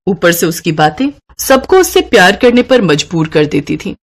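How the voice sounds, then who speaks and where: A woman's voice: lowish voice, big pitch swings, moderate pace. A woman narrating a scripted moral story for a cartoon.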